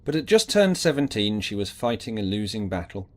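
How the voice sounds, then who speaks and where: A man's voice: lowish voice, sing-song, a little fast. A man narrating a scripted audiobook drama in a theatrical, emphatic storytelling manner.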